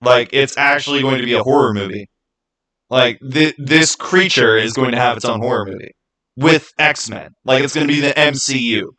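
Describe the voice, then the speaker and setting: A man's voice: medium pitch, normal speed, pushed and bright, poor audio. A man hosting a livestream, chatting casually and answering viewers in a rambling, conversational way. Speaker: livestream host.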